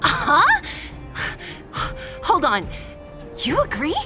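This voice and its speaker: A woman's voice: extremely high pitch, huge pitch swings, very slow, over music, exaggerated voice. An actress playing an exasperated, no-nonsense young female fighter in a video game, blunt and dry in manner.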